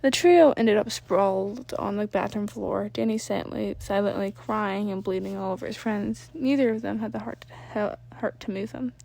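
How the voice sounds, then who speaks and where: A woman narrating fan fiction as an amateur reader, expressive and informal, sometimes stumbling and rereading words. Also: medium pitch, normal speed, creaky, hardly any pauses, low-quality recording.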